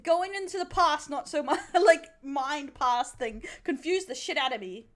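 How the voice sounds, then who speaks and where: An Australian woman with a high voice that swings widely in pitch. She chats casually as a streamer, blunt and giggly, joking crudely as she reacts. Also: animated, unhurried. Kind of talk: casual livestream chat.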